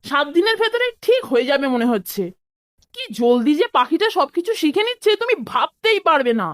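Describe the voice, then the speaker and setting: A woman voicing a small, cheeky, excitable schoolboy in a cartoon, chatty and full of exclamations. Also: high voice, fairly quick, no echo, clean studio sound. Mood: eager. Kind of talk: cartoon voice acting.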